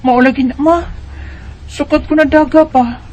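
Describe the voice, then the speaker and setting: A woman's voice: sits high, steady tone, normal speed, natural voice, poor audio. A woman with a Bisaya Filipino accent playing a chatty, carefree schoolgirl of about twelve in a radio drama. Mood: defensive, chatty. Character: Maria, carefree schoolgirl around twelve who loves snacks. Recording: music under the voice.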